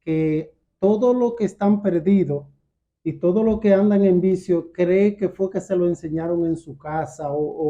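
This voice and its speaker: Dominican guy telling his testimony, really dark mellow voice, stop and start, muffled recording. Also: high-pitched. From Dominican Republic. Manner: halting, repeats words. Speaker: guest giving a religious testimony. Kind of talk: personal testimony in an interview. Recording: dull, muffled lo-fi sound.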